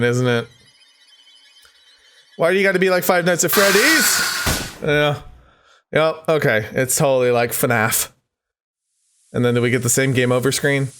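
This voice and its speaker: A man with a fairly high, very animated voice gives casual let's-play commentary. He interjects reactions and talks directly to his viewers. Music plays behind him. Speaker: gaming YouTuber host. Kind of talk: casual let's-play commentary, reading game text aloud.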